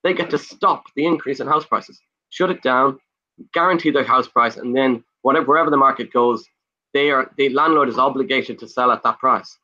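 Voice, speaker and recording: A man with an Irish accent, whose first language is Irish, talking fast and eagerly as a guest on a video call, rattling off lists in a pushy sales pitch. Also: pushed and bright, dull audio. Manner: fast, pushy, lists things off in rapid bursts. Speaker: guest, property investor. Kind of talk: enthusiastic sales pitch in conversation.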